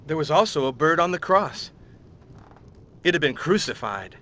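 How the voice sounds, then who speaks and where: A curious game hero, level and inquisitive. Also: male voice, a little high, expressive, moderate pace, bright, forceful tone. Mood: plain. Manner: level, inquisitive, plain delivery. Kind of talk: dubbed game cutscene acting. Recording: game audio with music behind.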